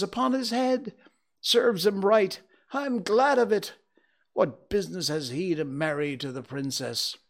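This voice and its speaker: Man acting out an old storybook gentleman, high-pitched, emotional, exclamatory, stammering, sentimental. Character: old man reliving childhood, wildly excited and tearful. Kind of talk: dramatized storybook reading.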